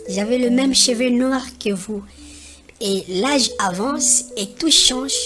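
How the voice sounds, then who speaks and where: An affectionate, wise cartoon grandmother in her seventies played by a woman speaks slowly to her grandchildren. Her voice sits fairly high, with music clearly playing behind it. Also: fairly bright tone. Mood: wise, gentle. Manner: slow, deliberate storytelling to children. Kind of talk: animated film dialogue.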